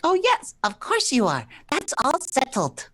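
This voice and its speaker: An exuberant, smug gentleman adventurer from a screwball radio play, acted out by a woman. The voice is high and swoops widely, with unhurried, theatrical delivery. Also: huge pitch swings, full character voice. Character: excitable, smug, romantic male writer-explorer in screwball comedy. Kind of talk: stagey comedic radio play acting.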